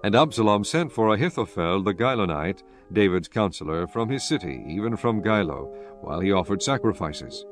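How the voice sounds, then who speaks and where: A man giving scripted Bible narration in a measured, solemn manner. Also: lowish voice, animated, faint music behind.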